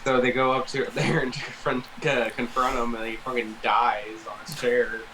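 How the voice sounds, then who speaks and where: A man's voice: medium pitch, flat delivery, normal speed, noisy background. A man co-hosting a podcast, chatting casually in a laid-back, loose way. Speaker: podcast co-host. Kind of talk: casual chat.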